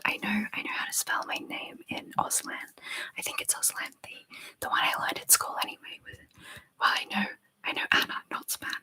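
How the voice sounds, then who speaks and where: A woman's voice: fairly high pitch, bright, forceful tone, poor audio. A young woman whispering close to the microphone as an ASMR creator, reading chat in a giggly, unsure way and trying out foreign words.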